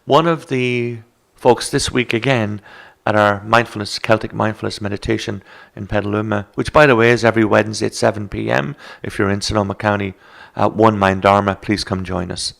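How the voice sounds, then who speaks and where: An Irish man with a low, gravelly voice talks through mindfulness in a calm, instructive way. He sounds like a meditation teacher guiding a relaxed conversation. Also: a little fast. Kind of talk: reflective conversation.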